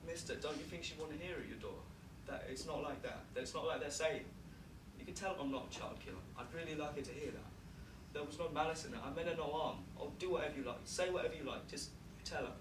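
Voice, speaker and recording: An actor plays a remorseful young joyrider with a high, quick voice. His words carry across a roomy stage from a distant microphone, with steady noise behind. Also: natural voice. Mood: pleading. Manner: pleading, then rushing through an excited story. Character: remorseful young male joyrider, driver of a fatal crash. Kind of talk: stage drama monologue. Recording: echoey stage from a distant mic, noisy.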